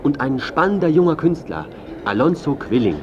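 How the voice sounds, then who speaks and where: An actor playing a pushy, self-important, scheming journalist who also narrates, by turns flustered, ingratiating and smug, in a radio drama. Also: male voice, a little high, very animated, moderate pace, lo-fi sound, a crowd in the background. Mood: smug, calculating. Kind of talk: radio drama acting with first-person narration.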